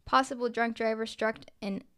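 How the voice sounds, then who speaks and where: A woman's voice: fairly high pitch, fairly even intonation, moderate pace, fairly bright tone, clear, resonant tone, close to an everyday voice. A woman voicing an official emergency dispatcher on a police scanner in a story, formal and procedural. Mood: official, urgent.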